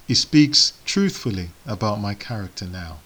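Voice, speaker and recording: A man whose first language is Igbo, giving a sermon in slow, measured phrases with solemn pauses. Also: lowish voice, big pitch swings, a bit bright, husky. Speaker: preacher.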